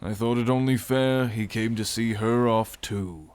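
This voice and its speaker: An actor playing a solemn, loyal sailor with a smooth, resonant voice in an audio drama. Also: male voice, mid-range voice, fairly even intonation, a little slow, darkish tone, natural voice.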